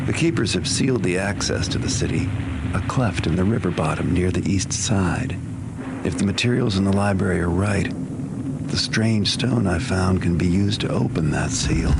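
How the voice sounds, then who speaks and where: An actor playing a cynical, cool-headed master thief, narrating a video game mission briefing with dry, understated wit. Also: male voice, heavy background noise. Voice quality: very deep, gravelly. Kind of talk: scripted first-person mission briefing narration. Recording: loud music under the voice. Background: loud music.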